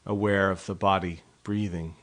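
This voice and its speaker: A man guiding a meditation with gentle instructions, spoken in short phrases with pauses between them. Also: deep voice, steady tone. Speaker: meditation guide. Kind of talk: guided meditation narration.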